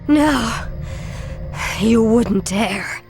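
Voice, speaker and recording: An actress playing a proud, defiant elven ranger commander in a video game, with a fierce manner. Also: female voice, a little high, lively intonation, very slow, a little mellow, soft background music. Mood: horrified. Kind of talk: video game cutscene acting.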